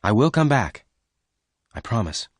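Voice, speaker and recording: A man voicing a steady young cowboy who speaks firmly and reassuringly. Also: mid-range voice, measured pace, dry recording, clean studio sound.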